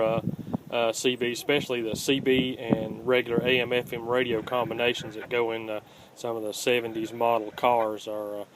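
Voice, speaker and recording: Flat-toned man, halting how-to talk. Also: mid-range voice. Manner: halting, plainspoken, unscripted. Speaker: host of a scrapping how-to channel. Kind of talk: casual hands-on how-to demonstration. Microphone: handheld phone, filming one-handed. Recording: home phone video with faint background noise.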